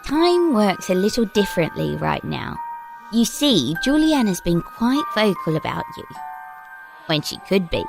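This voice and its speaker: A woman's voice: mid-range voice, sing-song, measured pace, creaky, over music. A woman playing an uncanny little girl in an audio drama, polite, formal and coolly commanding. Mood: calm, knowing. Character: uncanny little girl, prim, shrewd, older than she seems.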